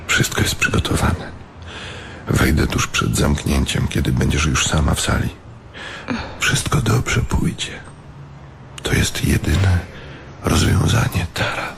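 A hapless, anxious man in debt in a radio play. His low, gravelly voice swings emotionally between hesitation and pleading. There is clear noise behind it. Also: lively intonation, slow, long pauses, full character voice. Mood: tender, pleading. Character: anxious, hapless indebted man turned amateur bank robber. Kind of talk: radio drama acting. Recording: clearly noisy background.

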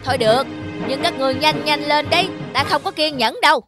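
A woman's voice: sits high, brisk, over music, close to an everyday voice. A woman voicing a hungry, scheming, impatient cartoon fox with a menacing, gloating manner. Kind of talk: animated cartoon dialogue.

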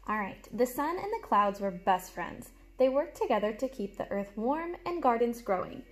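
A young adult woman reading a storybook aloud to children like a teacher, with animated, explanatory asides, recorded in a room at home. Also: fairly high pitch, normal speed. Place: small room at home, indoors. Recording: clear background noise, room ambience.

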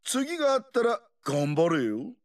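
An actor playing a brash, cocky ageing brawler in a video game, with rough, taunting talk and a gravelly voice. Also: male voice, fairly high pitch, huge pitch swings, measured pace. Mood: mocking, taunting. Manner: rough, swaggering, taunting slang. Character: brash, rowdy, cocky ageing male explosives-loving brawler. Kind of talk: video game character voice lines.

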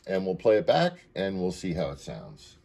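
A man's voice: low-pitched, wildly swooping pitch, normal speed, vocal fry, noisy background. A man presenting a casual, unscripted product demonstration, talking as he goes. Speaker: presenter demonstrating audio equipment. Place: indoor room at home, beside a tape deck.

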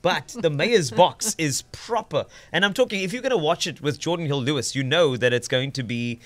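Bright, joking South African radio host. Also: male voice, fairly high pitch, normal speed. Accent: South African English. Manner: animated, joking, teasing. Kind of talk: energetic radio banter. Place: radio studio. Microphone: studio mic with headphones.

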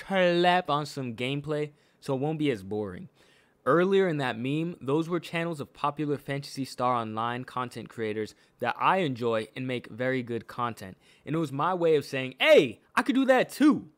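A man's voice: mid-range voice, a bit bright. An American man from New York with a faint New York accent, narrating a scripted video in a slangy, playful, informal way as a gaming YouTuber. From New York, USA.

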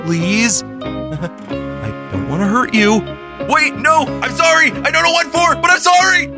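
A man's voice: medium pitch, very animated, takes it slowly. The jumpy, slangy teen sidekick in a cartoon comic dub, played by a man, voice leaping about over music. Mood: panicked, pleading. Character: excitable, anxious, well-meaning teenage boy. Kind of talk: animated comic dub acting. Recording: music behind the voice.

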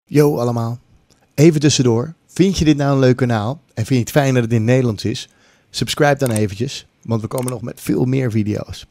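A man's voice: medium pitch, fairly quick, warm tone. A middle-aged man gives a casual, informal tutorial, slipping English phrases in, close to a condenser mic. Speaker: music production tutor. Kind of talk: casual screen-recorded tutorial. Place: small room at home. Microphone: large condenser mic on a boom arm, close up. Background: music loops.

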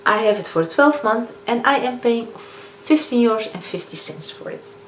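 A woman's voice: on the high side, animated, normal speed. Chatty Dutch-accented woman in an echoey room. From Netherlands. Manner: chatty, self-correcting, hesitant fillers. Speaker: beauty vlogger. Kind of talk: casual product review. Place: living room, indoors. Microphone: camera in front of a seated speaker. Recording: echoey room with faint hiss.

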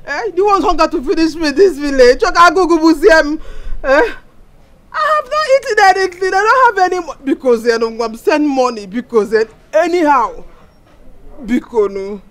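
A self-pitying older mother from a Nigerian village wails and pleads in a high voice, as a woman puts her on. She slides between English and Igbo, piling on guilt. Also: animated, moderate pace. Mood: pleading. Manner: wailing, guilt-tripping, mixes Igbo into English.

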